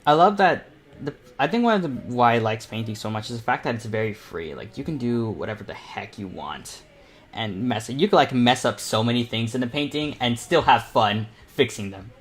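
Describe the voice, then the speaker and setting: A man's voice: medium pitch, animated, moderate pace. A young man hosting a casual painting livestream, rambling and thinking aloud as he works. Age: teens to young adult. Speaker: livestream host and self-taught painter.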